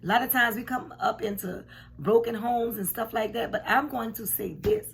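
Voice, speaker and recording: Woman, forties, hoarse home vlogger; frank and emphatic, faint hum under the camera audio. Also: a little high, moderate pace. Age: 40s. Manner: frank, emphatic, repeats herself. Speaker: commentary vlogger. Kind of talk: casual reaction commentary. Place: home room indoors. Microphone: camera at desk distance.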